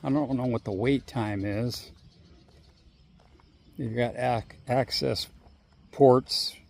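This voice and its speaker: Man in a rambling walk-and-talk vlog: unhurried and profane, with a rough, crackly voice, recorded on a phone. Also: medium pitch, a little mellow. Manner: rambling, profane, drawn-out pauses. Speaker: vlogger. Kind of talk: casual walking monologue. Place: outdoors on a walk. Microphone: handheld phone.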